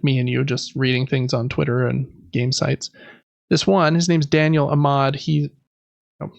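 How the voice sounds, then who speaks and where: Gravelly male podcast host, casual. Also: a little high, dry recording, dull audio. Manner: informal, conversational, hedging with slangy asides. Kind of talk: casual podcast commentary on industry news.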